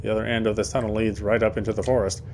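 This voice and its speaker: A man's voice: fairly low pitch, flat delivery, very fast, a little mellow, a little hiss or hum. A man reading a horror game's first-person narration aloud in a dramatic storytelling style. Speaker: let's player reading game text aloud.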